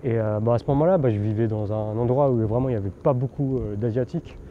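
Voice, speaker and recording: A man's voice: mid-range voice, quick pace. A man born in France, talking candidly while riding a bike outdoors, rambling with many fillers and restarts. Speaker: vlogger. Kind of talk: casual spoken monologue. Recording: dull outdoor recording with background noise.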